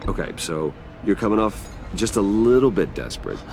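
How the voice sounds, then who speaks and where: An actor playing a guarded, composed billionaire in a video game, speaking in a measured, dry, reserved way. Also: male voice, fairly low pitch, expressive, vocal fry, darkish tone, slight background noise. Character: guarded, composed male billionaire, patient confidant. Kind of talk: understated video game voice acting. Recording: music behind the voice.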